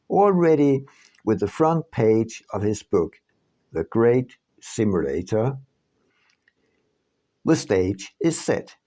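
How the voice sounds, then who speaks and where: Slow, creaky male audiobook narrator. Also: mid-range voice, dark tone. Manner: measured, deliberate phrasing with dramatic pauses. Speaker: author reading own audiobook.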